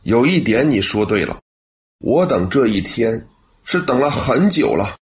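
A man's voice: mid-range voice, sing-song, unhurried. An actor playing a sincere, courteous young policeman in a radio drama, speaking with gratitude. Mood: firm. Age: young adult. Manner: earnest, courteous.

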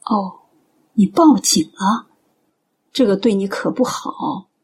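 Actress as husky, earnest middle-aged woman. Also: medium pitch, slow pace, darkish tone. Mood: earnest persuasion. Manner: earnest, persuasive, pleading. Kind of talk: radio drama acting.

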